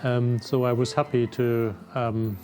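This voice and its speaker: A man's voice: medium pitch, level tone, unhurried, dark tone. German man in his 60s, veteran diver, hesitant non-native English, faint music behind. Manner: hesitant, many fillers, slightly non-native grammar. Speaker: wreck diver and underwater photographer. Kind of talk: interview answers.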